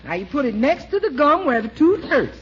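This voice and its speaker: An actress playing a frank, folksy, teasing housekeeper with homely grammar in a 1940s radio comedy. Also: female voice, high-pitched, sing-song, fairly quick. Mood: folksy advice. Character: outspoken, folksy woman housekeeper, teasing and loyal. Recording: muffled 1940s radio recording, faint noise.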